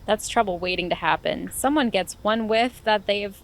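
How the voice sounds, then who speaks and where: A woman reading the part of a young vigilante heroine in a comedic script reading, deadpan and clipped. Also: medium pitch, moderate pace, natural voice. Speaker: guest reader.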